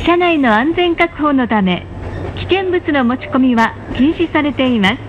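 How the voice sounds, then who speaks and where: A woman's very high voice delivers scripted announcements on a train. She is polite and formal, enunciating each phrase in a measured way. The sound is dull and muffled, with clear rumbling train noise behind it. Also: lively intonation. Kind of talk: pre-recorded scripted public announcement. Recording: muffled, noisy onboard train speaker recording. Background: rumble of a moving train.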